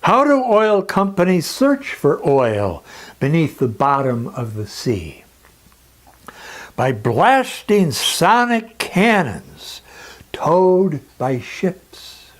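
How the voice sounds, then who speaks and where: Slow, dramatic male lecturer. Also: a little high, fairly bright tone. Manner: deliberate, emphatic, dramatic pauses. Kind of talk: scripted educational narration.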